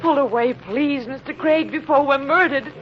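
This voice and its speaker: A woman's voice: high-pitched, moderate pace, lightly acted. An actress playing an anxious, pleading young society woman in a fifties radio mystery. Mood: frightened, urgent. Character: frightened, urgent young society woman in trouble. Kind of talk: 1950s radio drama acting. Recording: dull, noisy vintage radio recording.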